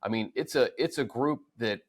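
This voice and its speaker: An American man hosting a conversational sports show, speaking in a halting way and repeating words as he gathers his thoughts. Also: mid-range voice, slightly rough. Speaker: sports podcast host.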